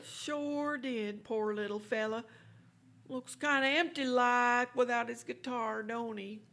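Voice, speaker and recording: Woman acting a folksy, high-voiced frontier townsperson. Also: fairly even intonation, takes it slowly, a bit bright. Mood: curious, sympathetic. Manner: drawling, colloquial, gossipy. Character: folksy, chatty Old West townsperson of Dodge. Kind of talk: western radio drama acting.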